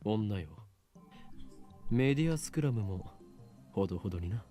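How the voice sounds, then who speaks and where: Visual novel role: theatrical, paranoid mad scientist, young male man acting, fast and highly animated delivery. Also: lightly acted. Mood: pompous, cool. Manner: pompous, dramatic declarations. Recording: music bed behind the voice.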